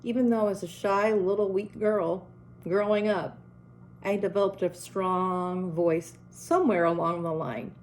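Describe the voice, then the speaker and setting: Slow, strong-voiced middle-aged woman. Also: medium pitch, fairly even intonation, darkish tone, a little hiss or hum. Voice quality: strong, carrying voice. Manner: earnest, deliberate, direct. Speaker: school principal. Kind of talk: advice talk to parents and teachers.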